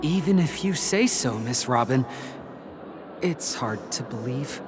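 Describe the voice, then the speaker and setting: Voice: medium pitch, lively intonation, unhurried, over music, lightly acted. An actor playing an ordinary, skeptical guest in a video game, hesitant and doubtful in manner. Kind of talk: scripted video game dialogue.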